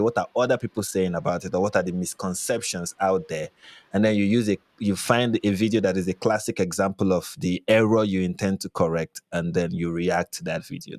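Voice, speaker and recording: A man's voice: deep voice, a little mellow, no room sound. A Nigerian man speaking casually as an interview guest, explaining his views emphatically and repeating phrases. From Lagos, Nigeria. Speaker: YouTube commentator, podcast guest.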